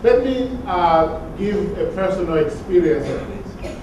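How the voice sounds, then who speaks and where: A man from Tanzania giving an academic conference talk, measured and sometimes repeating words as he searches for phrasing. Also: sits high, lively intonation, noisy background. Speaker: conference speaker. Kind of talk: academic lecture. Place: large conference hall. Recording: very echoey hall from far off.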